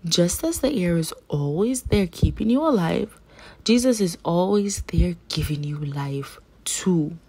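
A woman's voice: on the low side, expressive, a little slow, darkish tone, husky. A woman reading a children's religious picture book aloud, phrasing simply and carefully for young listeners. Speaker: read-aloud storyteller for children.

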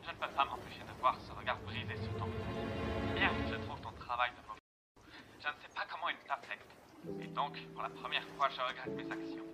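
Guy doing a proud formal cartoon demon, theatrical, old radio effect, music behind him. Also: medium pitch. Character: proud, prickly male demon with formal, haughty speech. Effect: old radio filter. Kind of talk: French fan comic dub acting.

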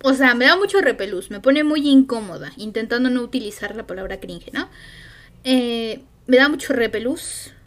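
A woman's voice: fairly high pitch, animated, normal speed. A woman with a Mexican accent chatting casually on a stream, rambling and hesitant with lots of fillers.

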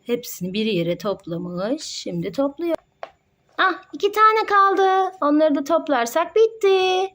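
A woman's voice: sits high, big pitch swings, unhurried, a bit bright. A woman playing with her child, narrating toy doctor play in a sing-song, encouraging way and explaining each step.

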